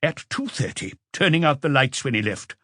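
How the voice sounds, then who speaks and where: Man voicing a precise, observant male witness, lively intonation. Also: medium pitch, normal speed, no echo, clean studio sound. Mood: precise, assured.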